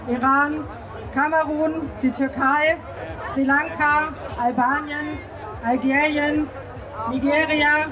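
A woman reading out a prepared public speech in a measured, formal manner at a noisy outdoor event. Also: sits high. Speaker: speaker for a queer refugee support group.

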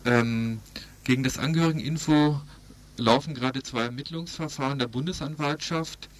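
A man's voice: medium pitch, normal speed. Male radio interviewer. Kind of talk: radio news intro and interview.

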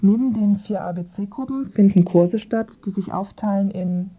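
A woman presents a scripted radio report in the manner of a magazine programme. Her voice sits at an average pitch. The recording is thin and band-limited, almost like a phone line. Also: a little slow.

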